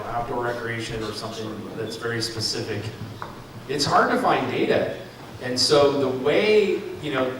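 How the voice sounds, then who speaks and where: A man presenting a market analysis at a public forum, speaking off the cuff with many ums and restarts. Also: medium pitch. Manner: thinking aloud, frequent ums and restarts. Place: large room at a public forum. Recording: echoey hall, far from mic, noisy.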